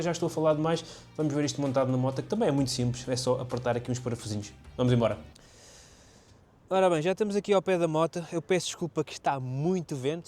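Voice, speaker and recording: A man with a European Portuguese accent and a slightly high voice. He talks in a casual, explanatory way, as if showing a gadget to his viewers. The delivery is chatty and informal. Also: darkish tone. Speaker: motorcycle vlogger reviewing gear. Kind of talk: casual product review and demonstration.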